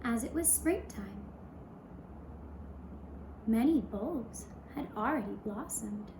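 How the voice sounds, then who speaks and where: A woman storyteller narrating a fairy tale for young children in a gentle, measured manner with short pausing phrases. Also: on the high side, animated. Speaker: puppet show storyteller. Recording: roomy echo, clear background noise.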